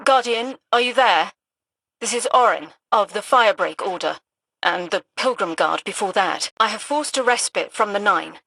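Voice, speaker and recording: A woman playing an earnest, resolute soldier in a video game, speaking in a brisk, direct and urgent way. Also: mid-range voice, expressive, gravelly, bright, forceful tone, dry recording, exaggerated voice, low-quality recording. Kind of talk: dramatic video game monologue.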